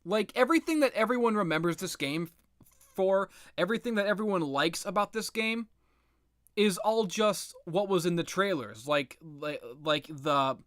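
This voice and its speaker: A man's voice: sits high, animated, normal speed, a bit bright, dry recording, studio quality. A man giving casual, unscripted opinion commentary on video games in a blunt, sardonic, sweary way. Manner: blunt, sardonic, profane ranting. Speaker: video game commentator, host.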